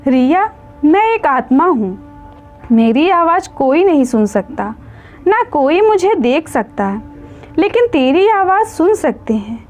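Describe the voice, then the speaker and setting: A woman's voice: sits high, big pitch swings, slow, darkish tone, dull audio, faint music behind, exaggerated voice. A woman voicing the sorrowful, lovelorn ghost of a dead husband in a story, wistful and tender. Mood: mournful, resigned.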